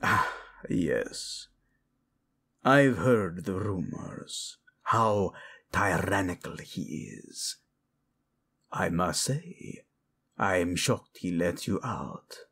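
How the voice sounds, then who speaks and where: A man playing a cold, scheming middle-aged royal father in an audio drama, slow, insinuating and theatrical, with falsely courteous mockery and a gravelly, husky voice. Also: low-pitched, lively intonation, a bit bright, exaggerated voice. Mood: false warmth. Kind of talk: dramatic audio roleplay acting.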